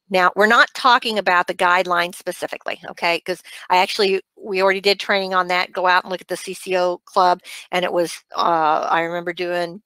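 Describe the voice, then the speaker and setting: A middle-aged American woman teaching an online class in an informal, conversational, halting way, checking in with listeners as she goes. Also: lowish voice, a bit bright, no room sound, poor audio. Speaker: medical coding instructor. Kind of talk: informal webinar teaching with screen walk-through. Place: small indoor room, webcam online session.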